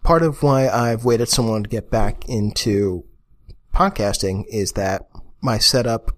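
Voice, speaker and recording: A young man with a fairly low voice talks through his podcast in a relaxed, mildly grumbling way, with the odd "uh" along the way. He is close to a USB condenser mic on a boom arm in a home setup. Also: measured pace. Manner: casual, mildly grumbling, with fillers. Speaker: podcast host. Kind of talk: solo podcast review.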